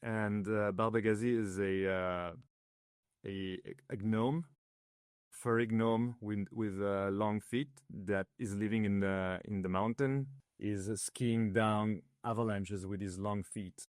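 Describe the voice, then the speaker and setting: A man's voice: fairly low pitch, fairly even intonation, slow pace, clean tone, dry recording, clean studio sound. A man from northern Italy, raised in Switzerland, whose first language is Italian, talking through a casual interview with many fillers and restarts. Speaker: ski builder guest.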